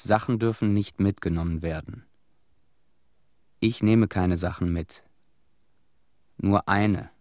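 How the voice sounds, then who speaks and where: An actor playing a haunted, quietly bitter middle-aged family man, giving deliberate testimony in a radio play. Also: on the low side, no room sound, studio quality, lightly acted.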